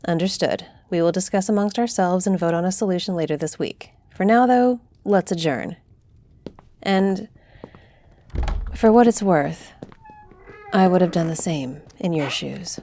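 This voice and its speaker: A woman's voice: lowish voice, unhurried, mellow, a little hiss or hum. A woman playing a solemn, fair-minded griffin-shifter council leader in a fantasy audio roleplay, speaking with formal authority. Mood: measured, approving.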